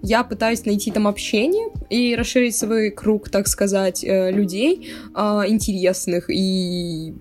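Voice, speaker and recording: A woman's voice: mid-range voice, fairly even intonation, a little slow, a little mellow, soft background music. A woman of twenty-one co-hosting a podcast in casual, informal chat, a little self-deprecating. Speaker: podcast co-host.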